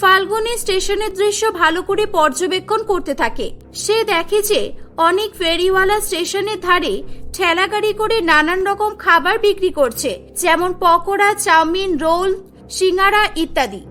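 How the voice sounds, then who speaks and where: Very high-voiced woman storyteller. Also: measured pace, fairly bright tone, soft background music. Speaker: narrator. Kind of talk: scripted cartoon story narration.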